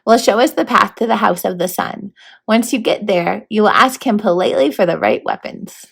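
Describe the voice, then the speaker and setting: A woman's voice: mid-range voice, moderate pace, creaky, husky. A woman voicing a formal, fussy, stern male horned toad mentor in a storybook read-aloud. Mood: fussy, confident. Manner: proper, grandly worded, matter-of-fact.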